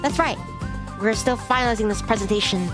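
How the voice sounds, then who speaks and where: A woman's voice: medium pitch, fairly quick, close to an everyday voice. A woman voicing an earnest, slightly flustered young office worker, the heroine of a visual novel, over music. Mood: businesslike.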